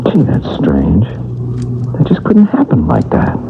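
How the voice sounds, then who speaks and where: An actor playing a skeptical, exasperated husband in a 1970s radio drama, emphatic and incredulous. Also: male voice, medium pitch, wildly swooping pitch, measured pace, noisy background. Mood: mystified. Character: skeptical, exasperated husband, practical commercial artist. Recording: tinny vintage radio recording.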